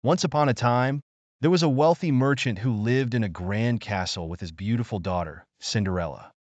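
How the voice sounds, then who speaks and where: A man's voice: mid-range voice, normal speed, no echo, dull audio. A man narrating a scripted fairy tale as a bedtime story for children.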